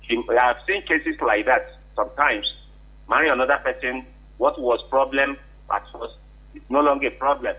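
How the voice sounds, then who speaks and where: Male radio caller, Nigerian accent, husky, higher-pitched, insistent advice-giver, narrow telephone sound. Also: normal speed. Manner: insistent, questioning, repeats points for emphasis. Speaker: call-in contributor. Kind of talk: unscripted advice on air. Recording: muffled, tinny phone line.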